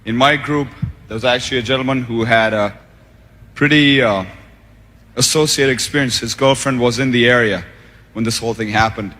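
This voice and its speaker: A man from Pakistan answering on stage at a seminar, hesitant with pauses and fillers. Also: mid-range voice, fairly even intonation, a bit bright. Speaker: seminar participant. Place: large seminar hall. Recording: reverberant hall.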